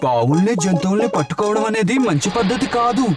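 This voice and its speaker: Naive cartoon sidekick, rapid chatter, swooping delivery, loud music behind. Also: male voice, high-pitched, animated. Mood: earnest. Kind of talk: dubbed children's cartoon acting.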